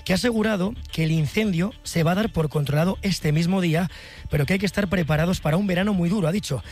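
A man anchoring a live radio news program, reading the news briskly and crisply. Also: high voice, darkish tone, soft background music.

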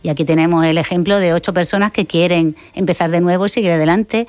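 A woman's voice: lowish voice, fairly even intonation, fast talker, a little mellow, no room sound. A woman speaking conversationally as a spokesperson and guest in a radio interview. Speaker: communications officer, interview guest.

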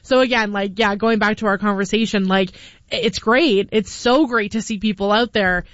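A woman's voice: medium pitch, steady tone, moderate pace, fairly bright tone, dry recording, lo-fi sound. A Canadian woman chatting casually as a contributor on a radio show. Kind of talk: casual chat.

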